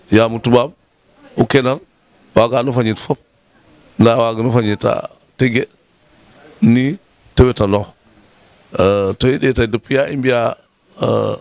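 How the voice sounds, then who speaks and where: Man presenting at a local meeting; average pitch, deliberate pace, repeats key words, frequent filler pauses. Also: creaky, dry recording. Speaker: official presenting a waste project at a municipal meeting. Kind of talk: formal speech mixing French terms.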